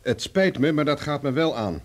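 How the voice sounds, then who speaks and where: An actor playing a tough, wry adventurer in a radio play, blunt, sardonic and defiant. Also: male voice, medium pitch, expressive, normal speed, natural voice. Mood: blunt, pressing. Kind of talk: Dutch radio play acting.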